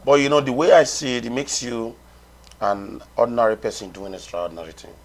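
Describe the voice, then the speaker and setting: A man's voice: medium pitch, expressive, a little fast. A man, hosting a conversational interview in an informal, admiring way. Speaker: interview host. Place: TV studio, seated.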